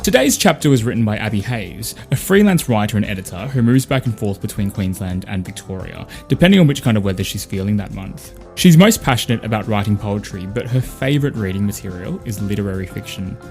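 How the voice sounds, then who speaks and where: An Australian man with a lowish voice presents a podcast's closing segment. He reads scripted credits and announcements over soft music. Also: animated, fairly quick, hardly any pauses. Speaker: podcast host and voice actor.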